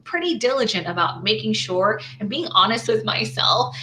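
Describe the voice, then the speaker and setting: A woman's voice: medium pitch, expressive, normal speed, bright, forceful tone, slight background noise, rough recording. A woman running her own small business, walking through her slides in a casual screen-share, thinking aloud with lots of "right?" tags and restarts.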